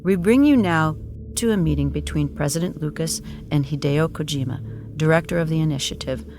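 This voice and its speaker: A woman giving solemn, measured, deadpan narration for an audio drama in a documentary style. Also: deep voice, noisy background.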